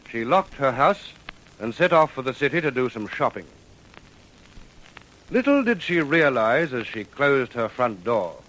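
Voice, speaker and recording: A man narrating an old radio crime drama in a solemn, measured, portentous scripted style. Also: a little high, fairly bright tone, low-quality recording.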